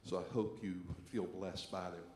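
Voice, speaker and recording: A man's voice: deep voice, fairly even intonation, normal speed, darkish tone, faint music behind. A man speaking as a pastor, introducing a church service and leading a scripture reading. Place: church sanctuary. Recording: echoey church, faint background noise.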